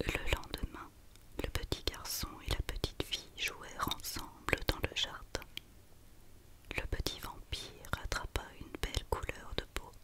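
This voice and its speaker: A woman whispering a children's story in ASMR style, reading slowly and deliberately with pauses. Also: bright, forceful tone. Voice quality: unvoiced whisper.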